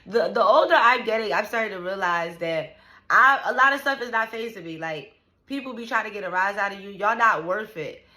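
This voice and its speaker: A woman's voice: medium pitch, lively intonation, brisk, pushed and bright. A woman in her thirties venting casually to the camera, blunt and profane, with lots of "like" and "you know what I'm saying". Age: 30s. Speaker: vlogger.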